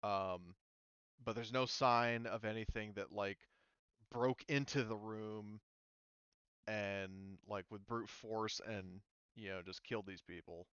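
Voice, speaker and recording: A low-pitched man acts as game master, improvising the narration in a halting, casual way. His voice is smooth, and the slightly dull recording is close and dry. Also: fairly even intonation, fairly bright tone, no echo. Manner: halting, casual, mildly profane.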